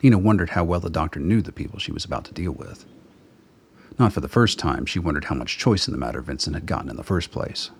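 A man narrates a radio drama from a script. His voice is low and deep, with a husky, breath-roughened grain. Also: animated, quick pace.

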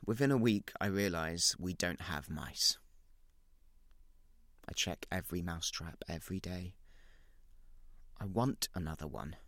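A man's voice: low-pitched, a little slow, pauses often, a bit bright, no echo, studio quality. A man reading a dramatic first-person story as a guilt-ridden, unravelling, well-off narrator, confessional and intense in short clipped phrases. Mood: brooding, guilty.

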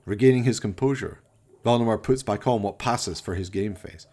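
A man's voice: on the low side, normal speed, dark tone. A man narrating a scripted radio play in an arch, florid, dramatic storytelling manner.

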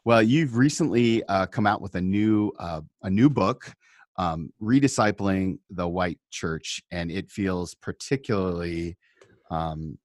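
A man hosting a podcast interview, asking eager, friendly questions with hesitant pauses. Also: sits low, dry recording, clean studio sound. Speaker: podcast host.

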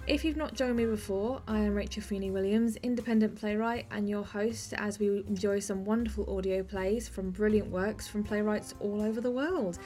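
A woman's voice: mid-range voice, steady tone, moderate pace, few pauses, mellow, soft background music. A woman hosting a podcast in a conversational way, chatty and effusive with her guest. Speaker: podcast host, interviewer.

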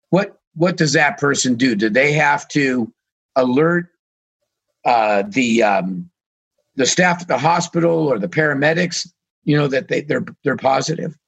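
A man co-hosting a radio talk show, losing his voice and asking halting questions full of fillers. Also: medium pitch, animated, gravelly, a bit bright, breathy edge.

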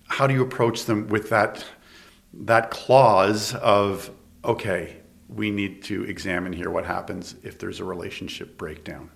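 A man's voice: sits low, steady tone, unhurried, vocal fry. A Canadian man hosting a podcast, asking probing questions in a conversational way. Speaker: podcast host. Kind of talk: interview questions.

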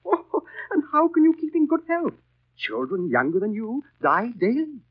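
An actor playing a pompous, sanctimonious school overseer, preaching sternly in 1930s radio drama style. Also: male voice, extremely high pitch, huge pitch swings, moderate pace, husky, full character voice. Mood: shocked, preachy. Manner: pompous, preachy, exaggerated swoops. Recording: muffled vintage 1930s radio recording.

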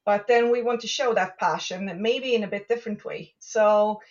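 A woman's voice: medium pitch, fairly even intonation, moderate pace, darkish tone. Distant, muffled Swedish-born woman. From Sweden. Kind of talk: casual interview chat.

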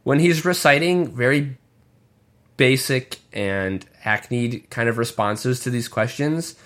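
Pria yang blak-blakan dan suka bergosip. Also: male voice, mid-range voice, takes it slowly, fairly bright tone. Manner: blunt, opinionated and gossipy. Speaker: podcast co-host. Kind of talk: casual chat.

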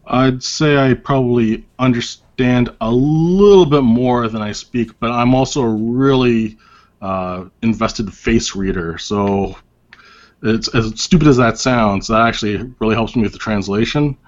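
A man's voice: mid-range voice, unhurried. A man as an interview guest, chatting casually with lots of fillers and restarts and a wry, self-deprecating manner. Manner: rambling, many fillers and restarts. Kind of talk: casual conversation. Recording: dull lo-fi audio.

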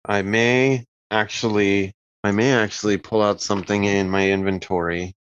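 A man's voice: fairly low pitch, little pitch movement, moderate pace, smooth, dull audio. A man talking casually as a player in a tabletop roleplaying game, describing his character's moves.

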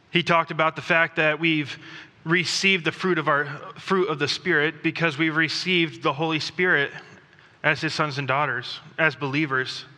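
Guy preaching in a church, super bright tense voice, kinda high, long echo off the hall. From Thailand. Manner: conversational, self-deprecating, with pauses and restarts. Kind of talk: sermon. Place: church sanctuary.

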